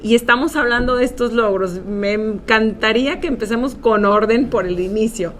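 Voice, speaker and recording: A woman hosting an interview show in an upbeat, casual way, often filling pauses. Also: fairly high pitch. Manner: upbeat, with frequent filler words. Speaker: podcast host. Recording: faint background noise.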